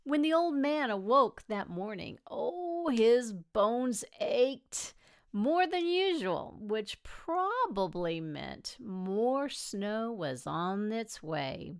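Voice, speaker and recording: A woman reading a children's picture book aloud expressively, with gentle delivery and dramatic pauses. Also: on the high side, very animated, clear, resonant tone. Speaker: storybook reader.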